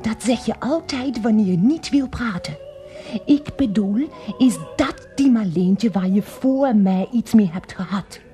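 A suspicious, fussy mistress with a German accent in a radio drama. Her high voice moves slowly and swoops as she coos and nags. Music runs behind her. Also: expressive, warm tone. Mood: jealous. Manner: cooing, nagging, hissed whispers. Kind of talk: Dutch radio play dialogue.

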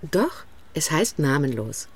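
An actress playing a polite, admiring young wife of an author in a radio play. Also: female voice, low voice, big pitch swings, slow pace, husky, exaggerated voice. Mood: earnest. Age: young adult.

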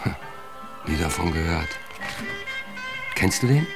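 A man's voice: low-pitched, sing-song, slow, over music, exaggerated voice. An actor playing a skeptical, puzzled man in a German radio drama, terse and pensive.

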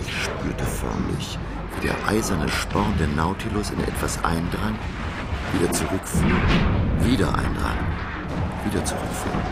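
An actor narrating a radio play as a grave professor recounting a sea adventure, solemn and suspenseful. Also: male voice, very deep voice, vocal fry, exaggerated voice.

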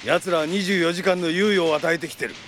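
An actor playing a stern, pragmatic middle-aged police section chief in a Japanese audio drama, gruff and authoritative. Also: male voice, on the high side, a little fast, fairly bright tone, slight background noise, natural voice.

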